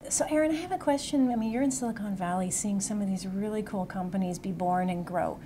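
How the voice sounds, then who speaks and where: A woman's voice: medium pitch, fast talker, dark tone, slight background noise. A woman interviewer asking questions in a friendly, encouraging way.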